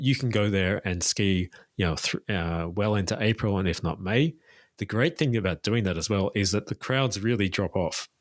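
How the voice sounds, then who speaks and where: Low-voiced man, tour guide guest. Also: dry recording, clean studio sound. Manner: detailed, informative, enthusiastic recommendations. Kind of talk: casual interview chat.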